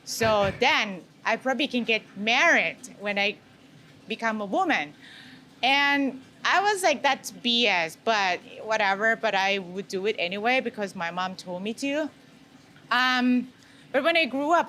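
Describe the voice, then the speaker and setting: A woman's voice: mid-range voice, pushed and bright. A woman from Thailand with a Thai accent, chatting casually and candidly as an interview guest. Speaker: interview guest, food maker. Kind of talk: casual chat. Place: indoor farm among herbs and flowers. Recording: clear background noise.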